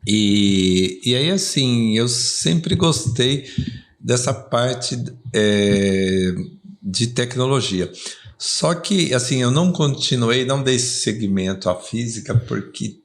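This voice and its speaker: Older male guest with a São Paulo accent, relaxed and rambling, with an average-pitched voice. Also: takes it slowly. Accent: Brazilian Portuguese, São Paulo. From São Paulo, Brazil. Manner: relaxed, chatty, many fillers and asides. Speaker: guest entrepreneur. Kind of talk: casual storytelling interview.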